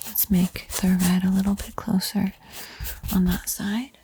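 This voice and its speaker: A woman whispering an ASMR painting tutorial in a breathy, soothing, gently encouraging way. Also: mid-range voice, fairly even intonation, unhurried, slight background noise. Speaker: art tutorial host.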